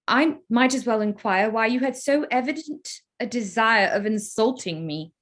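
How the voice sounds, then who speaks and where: A woman playing a proud, sharp-tongued young Regency gentlewoman in a staged reading, speaking in pointed, formal phrases. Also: fairly high pitch, expressive, moderate pace. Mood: angry, accusing. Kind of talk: staged reading of a period drama.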